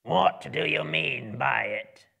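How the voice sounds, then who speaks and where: Gravelly, menacing goblin king. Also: mid-range voice, pitched above the performer's own voice, heavily acted. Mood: suspicious, menacing. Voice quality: raspy, gravelly. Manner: loud, accusing, menacing drawn-out delivery. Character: huge, pompous, wrathful male goblin king.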